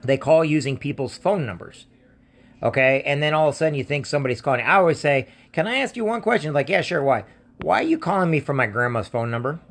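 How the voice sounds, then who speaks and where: A man's voice: fairly high pitch, expressive, fast, fairly bright tone. Insistent American man. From United States. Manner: insistent, repetitive, plain-spoken. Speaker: identity theft protection seller hosting a scam-awareness video. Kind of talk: casual warning talk to viewers and on phone.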